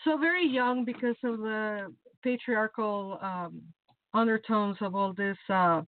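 A woman's voice: mid-range voice, measured pace, no room sound. Talkative woman with a Mexican accent. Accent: Mexican Spanish. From Mexico City. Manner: lively, talkative, joking. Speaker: podcast guest. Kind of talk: casual interview talk. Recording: tinny phone-like line.

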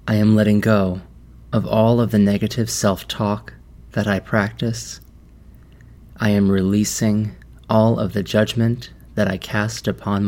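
A man leading a guided meditation in a measured, deliberate manner with long pauses. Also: lowish voice, darkish tone.

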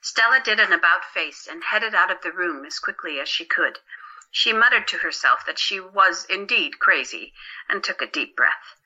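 Female story narrator: slightly low pitch, crisp bright edge, dulled recording, scripted delivery. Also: lively intonation, normal speed, muffled recording.

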